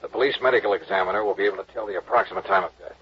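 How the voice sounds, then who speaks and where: A man's voice: on the low side, very fast, creaky, slight background noise. An actor playing a wry, persistent male insurance investigator in a 1950s radio drama, with dry, clipped, skeptical questioning, on a dull old broadcast recording. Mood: pressing.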